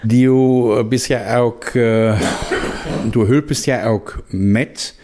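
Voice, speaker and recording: A male interviewer, asking halting questions with fillers. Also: mid-range voice, steady tone, dark tone.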